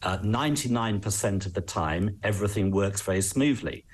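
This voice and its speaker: An English man speaking as an expert guest in a news interview, measured, articulate and earnest in explaining his points. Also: on the low side, husky.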